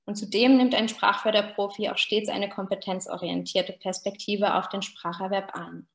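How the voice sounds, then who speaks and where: A woman's voice: mid-range voice, level tone, normal speed, vocal fry. A woman in her thirties giving an explanatory online lecture as a researcher, addressing her listeners directly. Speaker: university researcher presenting a talk. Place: small indoor room, video call. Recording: roomy, echoing webcam sound.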